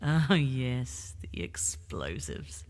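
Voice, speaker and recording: Woman acting low-voiced, wildly swooping, sardonic female agent. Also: very animated, drawn-out words, darkish tone, full character voice. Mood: wistful, teasing. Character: restless, wry female field agent, bored of desk work. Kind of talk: full-cast audio drama acting. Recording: long reverberant hall echo.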